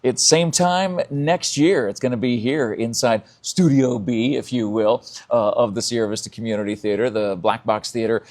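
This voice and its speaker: A man's voice: mid-range voice, normal speed, few pauses, darkish tone. A man hosting a casual, upbeat live interview as a radio host.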